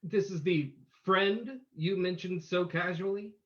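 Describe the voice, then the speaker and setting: A woman playing a composed, courteous gentleman sleuth in an old-time radio drama reading. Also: lowish voice, measured pace, close to an everyday voice. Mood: composed, probing. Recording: muffled, lo-fi sound.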